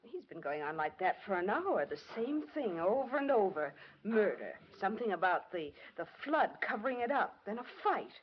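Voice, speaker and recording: An actress with an American accent playing a gentle, reassuring hospital nurse in an old radio drama. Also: female voice, medium pitch, sing-song, normal speed, hardly any pauses, a little hiss or hum, natural voice. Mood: concerned. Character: soothing, capable hospital nurse. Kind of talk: 1940s-50s radio acting. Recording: muffled old radio recording.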